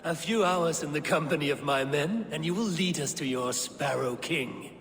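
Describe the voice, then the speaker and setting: An actor playing a hardened Viking raid leader, scornful and commanding. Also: male voice, a little high, normal speed, a crowd in the background, lightly acted. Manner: commanding, scornful, barking orders. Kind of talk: game cutscene voice acting.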